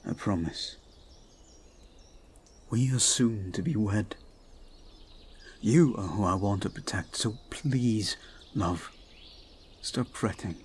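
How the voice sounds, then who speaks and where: A man playing a devoted, protective young prince in an intimate audio roleplay, speaking gently and somewhat formally to his betrothed. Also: fairly low pitch, slight background noise. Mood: soothing, protective. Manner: gentle, soothing, measured, slightly formal phrasing.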